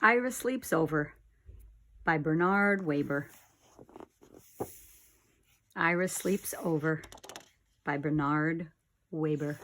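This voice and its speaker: A woman narrating a children's storybook like a teacher, with deliberate, expressive phrasing. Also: low-pitched, slight background noise. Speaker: teacher reading aloud to children. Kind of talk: storybook read-aloud narration.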